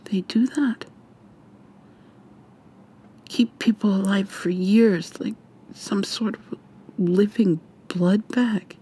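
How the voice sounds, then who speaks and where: A woman playing a defiant, frightened young human captive in an intimate, hushed, breathy ASMR roleplay, tense and sweary. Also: medium pitch, slow pace, pauses often. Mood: angry, distrustful. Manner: tense, profane, confrontational.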